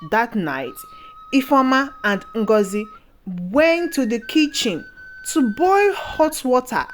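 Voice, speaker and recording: Female storyteller, higher-pitched, expressive and unhurried, for scripted folktale narration over light music. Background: background music.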